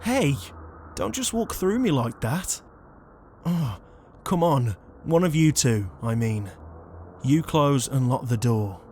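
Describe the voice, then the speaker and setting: A man's voice: medium pitch, lively intonation, slow pace, a little mellow, slight background noise. A man playing an exasperated, conscientious ghost in a comedic audio roleplay, flustered, hesitant and pleading. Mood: exasperated, urgent. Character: exasperated, conscientious male ghost, murdered shut-in.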